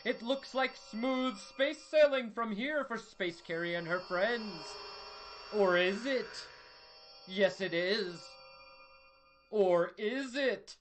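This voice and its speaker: A man playing a melodramatic old-time radio serial narrator, pompous, deliberate and full of suspense. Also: high voice, animated, fairly bright tone, soft background music, full character voice. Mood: mock suspense.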